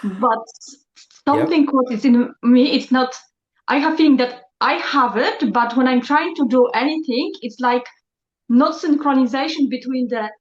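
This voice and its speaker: A woman speaking English as a second language in a group call, halting and reflective, searching for words. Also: sits high. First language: not English. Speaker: session participant. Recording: echoey lo-fi call audio.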